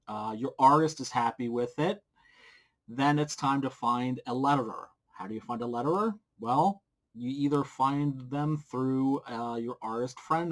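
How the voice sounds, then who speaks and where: A man's voice: medium pitch, a bit bright. A middle-aged man giving casual, blunt advice to camera in an informal, crude-humored way. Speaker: comic book creator giving advice. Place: small indoor home room. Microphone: desk microphone with pop filter, close.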